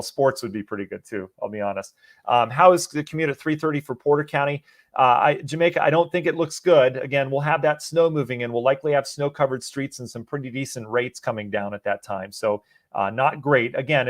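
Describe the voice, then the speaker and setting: A man's voice: medium pitch, no room sound, studio quality. Easygoing weatherman losing his voice. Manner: easygoing, chatty, answers viewers by name. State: losing his voice, a bit sniffly. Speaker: meteorologist. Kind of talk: live-stream viewer Q&A on the weather.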